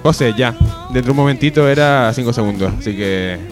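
Medium-pitched male radio host, bantering casually. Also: a little fast. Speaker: radio show director and host. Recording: music playing behind.

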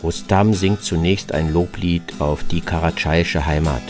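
A man narrating a scripted radio travel documentary in short, measured, literary sentences. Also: low voice, steady tone. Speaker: narrator, reporter. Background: plucked guitar music.

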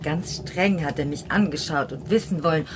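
A woman's voice: sits low, moderate pace, noisy background, natural voice. An actress playing a worried, reproachful middle-aged mother in a German radio play. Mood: defensive, worried. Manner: questioning, reproachful.

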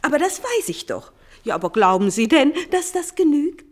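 A woman playing a gushing, insistent children's storyteller in an old theatrical radio play style, coaxing with drawn-out emphasis. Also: high voice, very animated.

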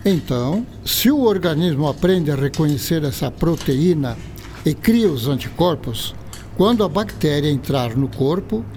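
An actor playing an earnest, courteous doctor and scientist in an educational radio drama, speaking Brazilian Portuguese with a Brazilian accent. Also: male voice, fairly high pitch, expressive, unhurried, a little mellow, a little hiss or hum. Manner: didactic, courteous.

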